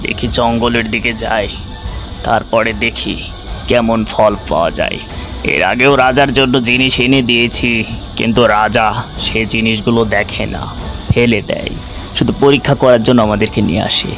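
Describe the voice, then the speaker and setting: A man's voice: mid-range voice, moderate pace, fairly bright tone, faint music behind, natural voice, low-quality recording. A man voicing a sly, cocky cartoon villager who muses aloud and connives. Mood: scheming. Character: sly, scheming male villager, cocky and careless. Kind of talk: acted cartoon monologue.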